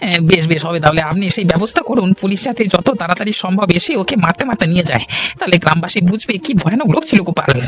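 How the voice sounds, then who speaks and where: A man's voice: sits high, lively intonation, very fast. A man playing a scheming, fawning village servant in a cartoon, ingratiating and insistent as he accuses. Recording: music behind the voice.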